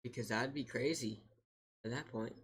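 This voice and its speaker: A man hosting a livestream, chatting casually with viewers in a playfully defensive, bantering manner with a slightly husky voice. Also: medium pitch, moderate pace. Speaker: livestream host.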